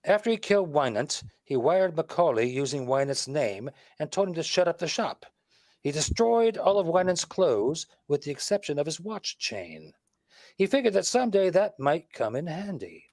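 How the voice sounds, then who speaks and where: Man playing a lively, higher-voiced radio detective. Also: moderate pace, dry recording. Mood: confident, explanatory. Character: shrewd, wisecracking male detective laying out a murder case. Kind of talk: 1940s radio mystery acting.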